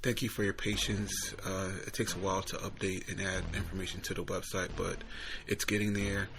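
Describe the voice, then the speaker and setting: Low, gravelly male podcast host. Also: flat delivery, brisk, background noise. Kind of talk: casual podcast sign-off. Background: music.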